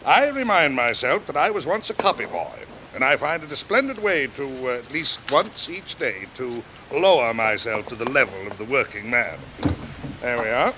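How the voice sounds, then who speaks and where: An actor playing a pompous, blustering middle-aged newspaper publisher with fussy, orotund delivery in 1940s radio drama style. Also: male voice, mid-range voice, big pitch swings, normal speed, lightly acted. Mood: self-important. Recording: hissy vintage radio recording, rain behind.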